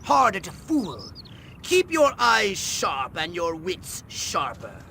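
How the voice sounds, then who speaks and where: A man's voice: high voice, wildly swooping pitch, takes it slowly, pushed and bright, a little hiss or hum, exaggerated voice. A man playing a pompous, scheming alien commander in a video game, speaking in a wordy, theatrical way. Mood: sly, lecturing. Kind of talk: video game cutscene acting. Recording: music behind the voice.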